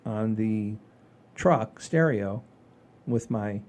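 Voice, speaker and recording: A middle-aged or older man hosting a comedy show, telling stories casually in a rambling, animated way with salty language. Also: fairly low pitch, extremely slow. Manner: rambling, animated storyteller with frequent swearing. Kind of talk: casual chat, storytelling.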